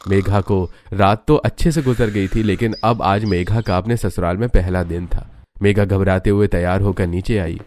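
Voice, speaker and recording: A man's voice: deep voice, fairly even intonation, moderate pace, a little mellow. A man reading scripted narration for a cartoon family story.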